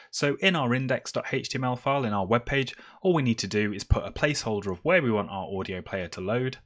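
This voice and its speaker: A man's voice: mid-range voice, fairly bright tone. A man presenting a screencast tutorial, explaining step by step in a semi-improvised way as he works. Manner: step-by-step, explaining while typing.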